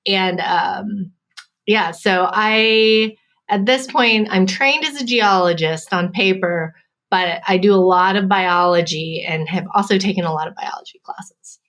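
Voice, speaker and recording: A woman's voice: mid-range voice, measured pace, fairly bright tone. A woman in her thirties with an American accent, a professor speaking as an interview guest in a reflective, hedging way. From United States. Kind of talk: casual interview conversation.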